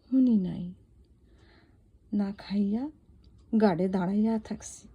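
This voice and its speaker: A woman with a rural eastern Bangladeshi accent recites poetry in a soft, husky, wistful and intimate, pleading way. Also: medium pitch, a little slow, lo-fi sound. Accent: rural eastern Bangladeshi dialect. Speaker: poet reciting own poem. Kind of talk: dramatic poetry recitation in dialect.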